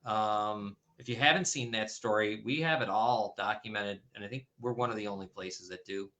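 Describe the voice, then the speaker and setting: A man hosting an online talk show speaks in a bright, forward tone. He rambles a little and restarts his sentences often, as in loose conversation. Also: on the low side, normal speed, muffled recording. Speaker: host. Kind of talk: casual talk show discussion. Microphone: streaming call setup.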